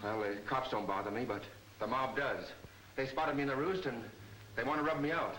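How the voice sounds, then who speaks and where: An actor playing a frightened but tough small-time American crook, slangy and urgent, in 1940s movie serial style. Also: male voice, mid-range voice, fairly quick, pushed and bright, reverberant, slight background noise, natural voice, poor audio. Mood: pleading. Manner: slangy, urgent hoodlum talk. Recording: old 1940s film soundtrack, music behind.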